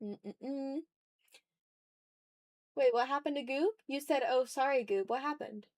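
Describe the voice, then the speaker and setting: A woman with a fairly high voice hosts an art livestream. She rambles casually, addressing chat as she works. The sound is very dry and close, with hardly any room in it. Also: unhurried, a little mellow, dry recording. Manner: informal, rambling, talking to chat. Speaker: art streamer and ASMR YouTuber hosting a livestream.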